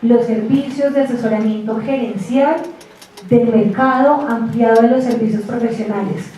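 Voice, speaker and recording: A woman giving a university lecture, chatty and lively, often asking the class rhetorical questions. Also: on the high side, moderate pace. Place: lecture room. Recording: echoey hall, distant mic.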